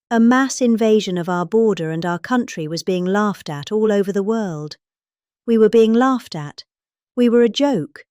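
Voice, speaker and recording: British woman with swooping, lively intonation. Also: medium pitch, no echo, clean studio sound. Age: middle-aged. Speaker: reader. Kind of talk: dramatic reading of a political speech.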